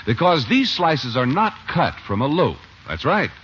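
A man's voice: a little high, very animated, measured pace, fairly bright tone, a little hiss or hum. An American man announcing on 1950s radio, reading commercials and narration with polished, emphatic salesmanship. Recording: old muffled radio broadcast recording. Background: faint music.

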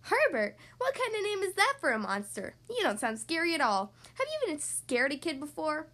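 Woman as a high-voiced picky little boy. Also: sing-song, moderate pace, pushed and bright, heavily acted. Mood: skeptical. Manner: blunt, earnest. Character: picky, skeptical young boy at bedtime.

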